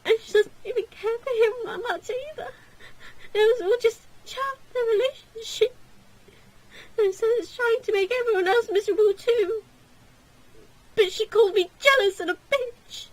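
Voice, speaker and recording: A woman's voice: very high voice, normal speed, pitched above the performer's own voice, poor audio. A woman voicing a distraught, guilt-ridden young girl in a gothic visual novel, pleading tearfully. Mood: anguished, defensive. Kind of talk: dramatic visual novel reading.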